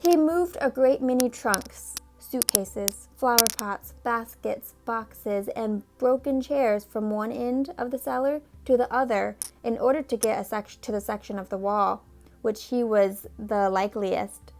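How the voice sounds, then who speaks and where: A woman reads a children's book aloud at a measured pace, pausing now and then to talk about the pictures. Her voice sits on the high side, with faint music playing underneath. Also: a little mellow. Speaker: storybook reader.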